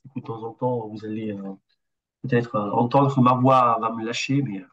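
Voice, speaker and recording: Italian guy in his 40s running a webinar, bit sick, lots of pauses and restarts, dull lo-fi sound. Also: mid-range voice, level tone, a bit bright. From Italy. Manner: halting, with frequent hesitations and restarts. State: slightly ill. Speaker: BIM consultant presenting a webinar. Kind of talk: webinar presentation.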